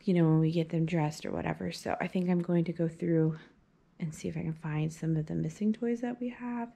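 A young woman with a low, gravelly voice chats casually to a phone camera held at arm's length. She rambles through her thoughts with lots of ums and restarts, recorded in a small room at home. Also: fairly even intonation, brisk, warm tone. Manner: rambling, hesitant, many fillers and restarts. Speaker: mom vlogger. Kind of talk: casual chat. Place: small carpeted playroom at home, indoors. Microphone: handheld phone camera at arm's length.

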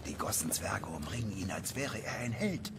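Lowish, wary fantasy warrior. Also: male voice, expressive, moderate pace, heavy background noise. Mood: wary, urgent.

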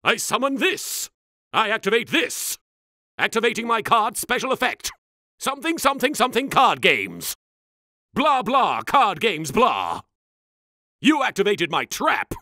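Arrogant young anime hero, forceful and sweeping delivery, pompous and theatrical, parody style. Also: male voice, high-pitched, wildly swooping pitch, measured pace, no room sound, clean studio sound, exaggerated voice. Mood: bombastic, dramatic. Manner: pompous, theatrical, haughty, over-enunciated. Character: arrogant, pompous young male anime duelist in a recording booth. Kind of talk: comedic parody voice acting. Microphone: close studio microphone.

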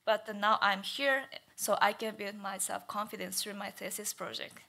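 Young woman, non-native English speaker, bright voice, halting thesis presenter at a lectern. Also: mid-range voice, level tone, creaky. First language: not English. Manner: stutters, careful with frequent fillers. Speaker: student presenter. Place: auditorium stage at lectern. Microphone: lectern mic.

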